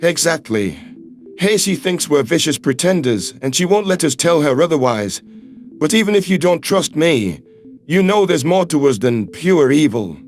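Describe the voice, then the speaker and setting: A man voicing a steady, earnest human man. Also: a little high, voice pushed down, natural voice. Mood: reassuring. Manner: firm, measured reassurance. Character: calm, earnest human man, protective and patient. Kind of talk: audiobook character voice.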